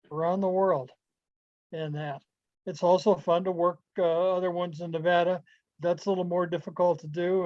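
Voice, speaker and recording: A man giving an informal club presentation over a video call, speaking haltingly with pauses. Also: high voice, a little mellow, no echo. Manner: halting, pausing delivery. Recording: dull, lo-fi video call audio.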